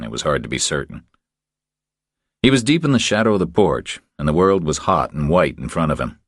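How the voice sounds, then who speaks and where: Deep-voiced man narrating a thriller. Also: no echo, studio quality. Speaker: audiobook narrator.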